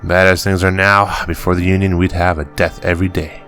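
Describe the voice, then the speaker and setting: A man's voice: low voice, little pitch movement, bright, forceful tone. A man reading the lines of a weary, bitter farmer father who grumbles and curses, with faint music behind. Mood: grumbling. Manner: grumbling, cursing, drawn-out reading. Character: gruff weary middle-aged farmer father, bitter miner.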